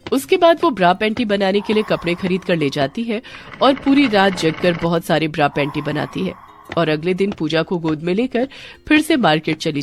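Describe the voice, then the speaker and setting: A woman's voice: on the low side, a little hiss or hum. A woman narrating a scripted story as a storyteller, with music behind her.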